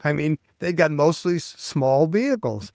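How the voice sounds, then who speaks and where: An older American man, a public speaking coach, chatting as an interview guest and telling stories with lively quoted voices. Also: a little high, normal speed, dry recording, clean studio sound. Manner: animated storyteller, acts out quoted voices. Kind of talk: casual interview chat.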